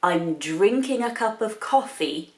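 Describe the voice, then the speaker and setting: A woman's voice: mid-range voice, animated, slow pace, echoey room. A woman, an English teacher chatting her way through a grammar point with examples. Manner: chatty, explains step by step with examples. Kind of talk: explaining grammar to learners.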